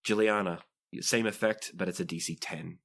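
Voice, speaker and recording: Deep-voiced man running a tabletop game. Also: steady tone, moderate pace, fairly bright tone. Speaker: game master. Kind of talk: narrating a tabletop roleplaying game.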